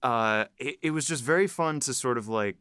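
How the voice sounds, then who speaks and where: A man's voice: mid-range voice, normal speed, fairly bright tone, clean tone, dry recording, clean studio sound. A man who writes for and acts in an audio drama, chatting about his work in an eager, upbeat way. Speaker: writer and voice actor. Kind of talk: casual podcast chat.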